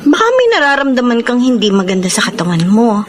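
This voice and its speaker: An actress playing a worried, suspicious, nagging wife with a Filipino accent in a radio drama. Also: female voice, on the high side, expressive, fast, slight background noise, natural voice.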